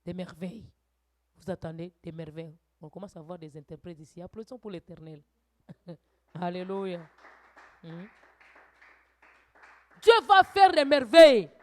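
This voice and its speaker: A woman's voice: fairly low pitch, expressive, measured pace, long pauses. Woman preacher exhorting a congregation. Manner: preaching with rising, shouted exhortations and quieter asides. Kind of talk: sermon teaching. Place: church.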